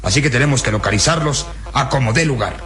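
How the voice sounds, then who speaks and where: A man's voice: medium pitch, lively intonation, fast, fairly bright tone, natural voice. A man playing a burly, irritable, suspicious police commander in a radio drama, blustering and bossy. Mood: suspicious, grumbling. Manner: blustering, bossy, barking orders. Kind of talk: old radio drama acting.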